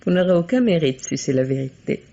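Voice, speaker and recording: Husky, coquettish woman suspect, lo-fi. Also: low voice, lively intonation, fairly quick, mellow, heavily acted. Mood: evasive. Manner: hesitant, coy, evasive. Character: evasive, coquettish woman suspect, improvising her alibi. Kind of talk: 1950s French radio drama acting. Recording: dull, lo-fi vintage radio recording.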